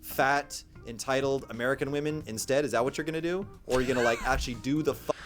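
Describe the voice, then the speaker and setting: A man's voice: medium pitch, fast, over music. A man in a brash, profane ranting monologue, giving blunt dating advice to camera. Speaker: dating coach.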